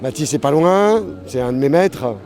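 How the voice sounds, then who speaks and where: A man's voice: fairly high pitch, expressive, moderate pace. A man being interviewed as a painter, talking casually and enthusiastically outdoors at a festival. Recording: music behind, outdoor event.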